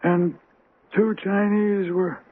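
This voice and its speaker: A man's voice: high voice, flat delivery, takes it slowly. A man playing a plain-spoken, deferential cemetery manager in an old-time radio drama, folksy and hesitant. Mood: doubtful, respectful. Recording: tinny band-limited radio drama recording.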